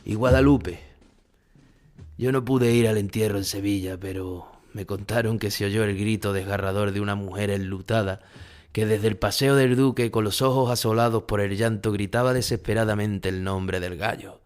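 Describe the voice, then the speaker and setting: An actor playing an aging, haunted Spanish bullfighter who recalls his past gravely in a dramatic audio monologue. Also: on the low side, fairly even intonation, normal speed, a little mellow, natural voice. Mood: wistful.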